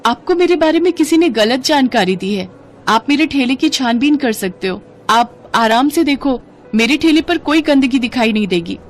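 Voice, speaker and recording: A woman's voice: high voice, lively intonation, moderate pace, pitched above the performer's own voice. A woman voicing a humble, hardworking poor street food seller in a story, earnest and respectful. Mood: worried, protesting. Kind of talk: storybook character acting.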